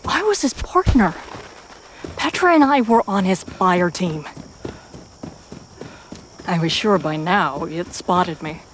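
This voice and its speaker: A woman reading a first-person story as a dramatic narrator, with intense pauses and hushed, breathy phrases. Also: fairly low pitch, expressive, slight background noise. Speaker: narrator of a fan fiction audio story.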